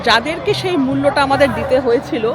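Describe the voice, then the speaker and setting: A woman's voice: sits high, fast, a loud crowd. A woman with an Indian Bengali accent, narrating a vlog as if talking straight to her viewers. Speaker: travel vlogger. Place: outdoors in a city square and voice-over.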